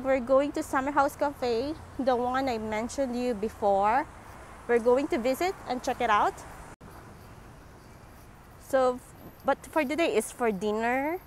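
A young woman vlogging casually outdoors at night, talking to her phone through an earphone mic in slow, simple chatty phrases. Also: high-pitched, a little hiss or hum, poor audio. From Singapore. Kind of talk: casual vlog narration. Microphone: wired earphone mic, phone at arm's length.

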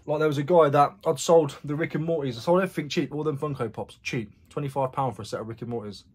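A man's voice: on the high side, fast, husky. A man in his forties talks through his haul in an easy, matter-of-fact vlog manner. He sounds frank and chatty, sitting in a small room with bare block walls. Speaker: reseller vlogger. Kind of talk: casual vlog chat.

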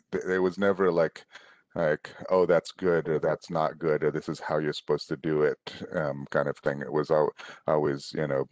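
Middle-aged man from indonesia, very deep voice, dry close headset mic, relaxed rambling talk, many restarts. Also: no echo, muffled recording. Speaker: author answering students' questions. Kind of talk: casual conversational answers. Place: room at home, on a video call.

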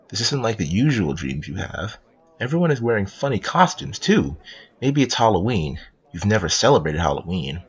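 A man's voice: lowish voice, a bit bright, husky, heavily acted. A man playing a playful, doting narrator who tells a cartoon storybook to a small child, in slightly dull sound. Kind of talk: second-person storybook narration.

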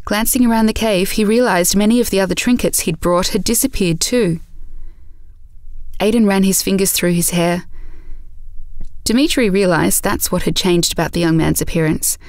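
A woman reads scripted story narration for an audio drama. Her voice is mid-pitched, and the recording is dry and close. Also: moderate pace, a little mellow, dry recording.

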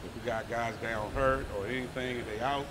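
A middle-aged man coaching basketball talks through a post-game interview at an easy, plain pitch, looping back on the same phrases. He holds a handheld mic in a big empty arena, with a steady noisy hum underneath. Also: brisk. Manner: relaxed, repetitive coach talk with fillers.

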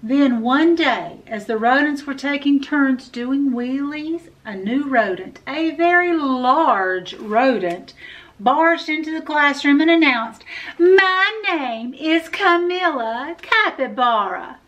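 Slow-paced, higher-voiced woman storyteller. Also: lively intonation, lo-fi sound. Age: middle-aged. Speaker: library storyteller. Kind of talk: expressive children's picture book read-aloud. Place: indoor room with full bookshelves.

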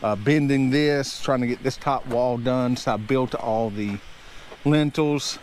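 A man's voice: mid-range voice, unhurried, a little mellow. A man in his thirties casually narrating a walkthrough as a builder, in an easygoing, folksy and somewhat hesitant way. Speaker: builder hosting a build vlog. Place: outdoor construction site. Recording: outdoor site noise, faint vehicle sounds.

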